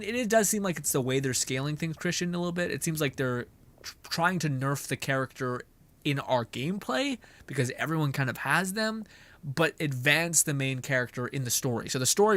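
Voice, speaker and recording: A man's voice: medium pitch, fairly bright tone. A man giving casual live game commentary as a streamer, rambling and slangy, with frequent swearing.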